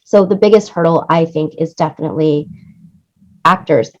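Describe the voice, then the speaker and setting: Casting: female interview guest, lower register, conversational and demonstrative, slightly dull low-fidelity sound. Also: moderate pace. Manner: animated explaining, acts out quoted lines, seeks agreement with "right?". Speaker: interview guest, music supervisor and audio producer.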